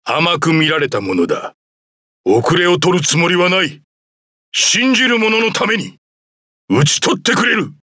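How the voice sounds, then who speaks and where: A man's voice: sits high, big pitch swings, a little fast, long pauses, sharp tone, no echo, clean studio sound, full character voice. A man voicing a proud old male general and mentor in a video game, bold and commanding. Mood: fierce. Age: older. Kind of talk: game voice clips.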